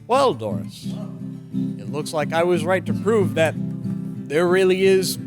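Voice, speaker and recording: A man's voice: high-pitched, big pitch swings, unhurried, over music. A man playing a kindly, earnest fellow in an old-time radio drama. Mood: earnest wonder.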